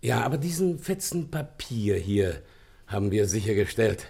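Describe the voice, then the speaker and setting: A gravelly, husky police inspector. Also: male voice, fairly low pitch, sing-song, normal speed, dark tone, full character voice. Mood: puzzled, keen. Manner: polite, matter-of-fact, explanatory. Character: deferential male police inspector reporting a burglary murder. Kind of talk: German radio play acting.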